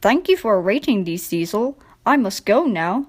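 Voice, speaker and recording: A woman's voice: mid-range voice, very animated, moderate pace, a little mellow. A woman voicing a proud, cheeky male tank engine in a storybook, short and matter-of-fact with a mock-innocent manner.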